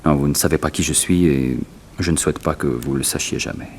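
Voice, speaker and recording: A middle-aged actor playing a suave, romantic gentleman thief with tender, courtly, theatrical phrasing in a 1960s French radio serial. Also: rumbling low, brisk, a little hiss or hum. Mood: tender, wistful.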